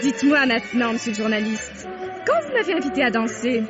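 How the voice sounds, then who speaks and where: A woman's voice: a little high, big pitch swings, brisk. An actress playing a teasing, outspoken young society woman in a vintage French radio mystery, with music behind her. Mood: teasing, playful. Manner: teasing, opinionated, playful. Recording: dull old radio recording. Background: loud music.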